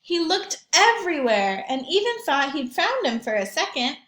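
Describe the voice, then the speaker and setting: A woman teacher reading aloud and talking to young children in an expressive storytelling manner, with questions and asides. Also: high voice, moderate pace, reverberant. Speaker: primary school teacher reading aloud to pupils.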